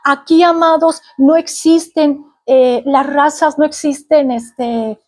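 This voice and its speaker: A woman preacher from Mexico City with a Mexican Spanish accent, delivering a sermon. Her voice sits high, and she teaches earnestly, pausing on fillers and checking that her listeners follow. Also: steady tone, darkish tone. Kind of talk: sermon to a congregation.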